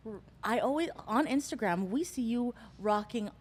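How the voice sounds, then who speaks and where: A woman hosting a casual podcast interview, lively and familiar with her guest. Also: a little high, moderate pace, long reverb tail. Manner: lively, familiar, calls guest girl and sis. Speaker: host.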